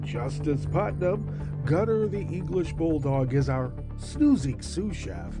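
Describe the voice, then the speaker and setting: A man hosting his own show in a sarcastic, rambling way, with a stuffed-up nose. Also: medium pitch. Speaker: podcast host. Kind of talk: casual monologue.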